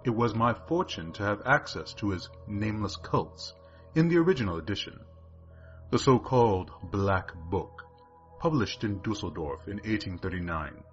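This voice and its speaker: A man giving a grave, deliberate dramatic reading of classic horror fiction. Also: lowish voice, expressive. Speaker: story narrator. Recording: dull lo-fi track, faint music.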